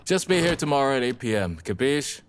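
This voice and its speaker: An actor playing a laid-back, friendly young vampire gang member in an audio drama, talking in casual slang. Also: male voice, medium pitch, very animated, a little fast, slight background noise, close to an everyday voice. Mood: friendly, easygoing.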